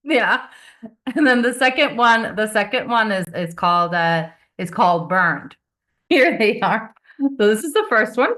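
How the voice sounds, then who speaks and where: A woman's voice: medium pitch, animated, a little slow, fairly bright tone. A woman who grew up in Venezuela, a business coach chatting as a guest on a video call, laughing often and repeating words as she starts. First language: Finnish. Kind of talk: casual interview chat.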